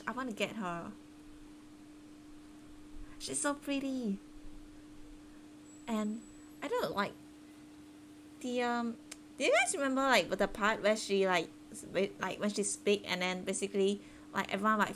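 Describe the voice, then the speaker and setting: A woman's voice: medium pitch, a bit bright, slight background noise. A woman game streamer chatting casually with viewers in a giggly, hesitant, rambling way. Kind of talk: casual live-stream chat. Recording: game music behind the voice.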